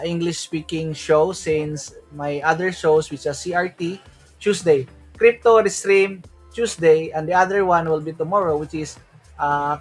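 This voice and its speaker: A man from the Philippines with a Filipino accent, hosting a solo crypto livestream in a loose way, switching into Tagalog now and then and asking "right?" after many of his points. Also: on the high side. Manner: loose, repeats "right?" often, mixes in Tagalog.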